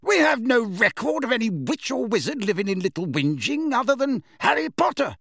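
A man voicing a brisk, exacting witch judge in an audiobook, clipped and authoritative. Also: extremely high pitch, very animated, vocal fry, a bit bright, no echo, studio quality, pitched above the performer's own voice, heavily acted. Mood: brisk, official. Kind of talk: audiobook character voice.

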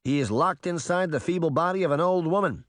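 A man's voice: fairly high pitch, a little fast, dry recording, clean studio sound, voice pushed up, close to an everyday voice. A man playing a coldly logical, slightly defensive magic mirror spirit in an audiobook, reasoning in a matter-of-fact way. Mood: coolly logical. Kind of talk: audiobook character voice.

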